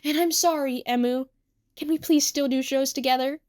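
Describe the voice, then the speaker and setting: A woman's voice: high-pitched, steady tone, moderate pace, a little mellow, dry recording, studio quality, pitched above the performer's own voice, natural voice. A woman voicing an earnest, take-charge teenage boy in a story reading, speaking in an emphatic, declarative way. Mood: apologetic.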